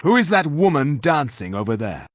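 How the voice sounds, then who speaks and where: An actor playing a blunt, haughty fantasy warrior in a video game, speaking in stiff, formal, deliberate diction. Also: male voice, on the high side, big pitch swings, no room sound. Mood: formal, inquiring. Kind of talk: video game character acting.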